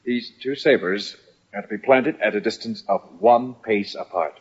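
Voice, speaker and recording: Sneering, hot-headed lieutenant. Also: male voice, fairly low pitch, husky. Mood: defiant, cold. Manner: sneering, deliberate, chuckling condescension. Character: mocking, hot-headed army lieutenant, a jealous rival. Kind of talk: old-time radio drama acting. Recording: dull, band-limited old radio recording.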